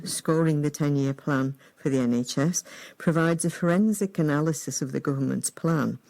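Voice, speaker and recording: A woman radio presenter asking brisk, prompting questions of a guest. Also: sits low, darkish tone. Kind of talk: radio interview.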